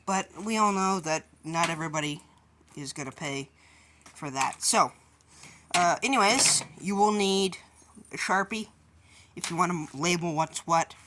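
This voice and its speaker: A woman's voice: fairly low pitch, unhurried, long pauses, a bit bright, a little hiss or hum. A woman hosting a DIY how-to video, explaining each step informally and a little hesitantly. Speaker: host of a DIY tutorial video. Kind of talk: casual hands-on how-to explanation.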